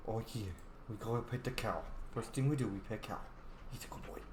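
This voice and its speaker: A man's voice: lowish voice, a little fast, roomy sound, noisy background. Playful man hosting a game session. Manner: playful, animated, roleplays his character aloud. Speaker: let's play host. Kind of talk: casual gameplay commentary with voiced replies.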